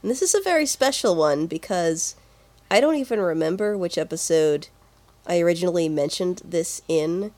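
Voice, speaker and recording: A woman's voice: fairly low pitch, lively intonation, normal speed, darkish tone. A woman co-hosting a podcast, chatting casually and informally about video game music. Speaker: podcast co-host.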